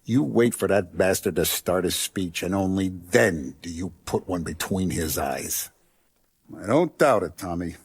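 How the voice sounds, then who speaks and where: A hardened mob boss from a video game, commanding, calculating, streetwise, dry close sound. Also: male voice, sits low, animated, husky, dry recording, heavily acted. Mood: cold, quietly menacing. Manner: deliberate, authoritative, streetwise gangster talk. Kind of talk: video game character acting.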